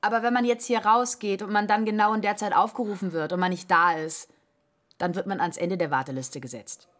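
A woman's voice: medium pitch, fairly even intonation, brisk, fairly bright tone, natural voice. An actress in a radio play voicing a chatty, practical, reassuring woman in her sixties. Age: 60s. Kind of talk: radio drama acting.

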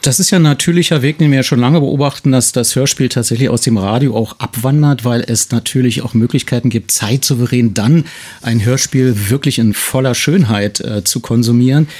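A man's voice: medium pitch, fairly quick, a little mellow, slightly rough. Conversational male radio host.

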